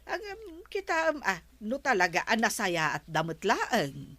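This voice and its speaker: A woman acting a gossipy, excitable village woman in an Ilocano radio comedy drama. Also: medium pitch, a little slow, exaggerated voice. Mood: teasing.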